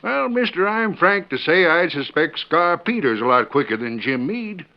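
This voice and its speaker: An actor playing a gruff, skeptical Old West sheriff, blustery and folksy, in 1940s radio drama style. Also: male voice, on the high side, sing-song, normal speed. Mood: grudging, businesslike. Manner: blustery, folksy frontier talk. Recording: dull vintage radio drama recording.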